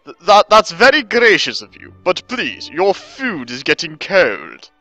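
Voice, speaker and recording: A devoted young servant girl in a storybook reading, acted out by a man, with a pitch lifted well up and a bright edge. She is courteous and deferential, exclaiming politely as she serves, with music underneath. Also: wildly swooping pitch, unhurried, dry recording, muffled recording, exaggerated voice. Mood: flustered, grateful.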